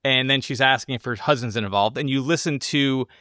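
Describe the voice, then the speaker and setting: Informal man analyzing film, medium-pitched voice. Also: brisk, bright, forceful tone, clean tone, no room sound, studio quality. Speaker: podcast co-host. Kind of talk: casual film discussion.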